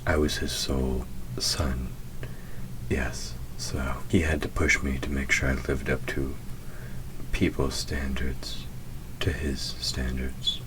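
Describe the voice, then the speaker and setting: An ancient, proud demon, played by a man, with an extremely deep voice. He murmurs slowly and deliberately, nearly whispering, gruff yet tender underneath. It is an intimate audio roleplay with clear background noise. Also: little pitch movement. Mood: wistful, tender. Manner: slow, deliberate, softly murmured, often near a whisper. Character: centuries-old male demon, proud, gruff but tender.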